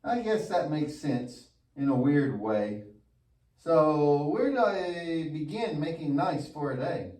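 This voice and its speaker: A man's voice: a little high, very animated, slow, dark tone. A man playing a goofy, lazy, mischievous young boy puppet in a church show, with an exaggerated, wisecracking delivery. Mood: hesitant. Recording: echoey room, distant mic.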